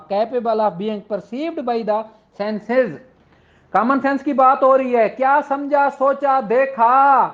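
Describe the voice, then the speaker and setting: Male lecturer, Pakistani accent, strikingly high pitch, earnest and exhorting teaching style, dull low-fidelity recording. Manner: earnest, exhorting, rhetorical questions. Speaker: law lecturer. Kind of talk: explanatory lecture to law students, with religious exhortation. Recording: dull lo-fi audio.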